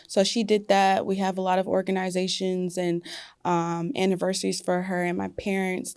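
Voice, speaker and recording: A woman's voice: fairly low pitch, darkish tone, clean tone, no echo, studio quality. A young woman singer talking casually in an interview, with many fillers like "like" and "you know". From United States. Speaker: singer guest.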